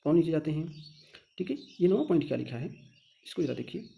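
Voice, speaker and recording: A man's voice: on the high side, lively intonation, fast talker, gravelly, dull audio. A man with an Indian accent teaching informally in an explainer style, posing questions and answering them himself with frequent check phrases. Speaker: online teacher explaining a job exam notification.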